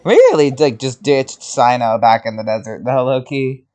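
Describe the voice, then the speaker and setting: Casual gamer reacting in a medium-pitched voice. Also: normal speed, darkish tone. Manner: informal, slangy. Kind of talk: casual reaction commentary while playing a game.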